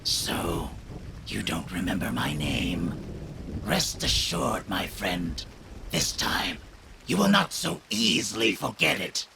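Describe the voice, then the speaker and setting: Gravelly, menacing villain. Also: male voice, lowish voice, sing-song, exaggerated voice. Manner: slow, menacing, theatrical. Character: menacing armored reptilian villain, vengeful and imperious. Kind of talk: video game voice acting. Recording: rain and noise behind the voice.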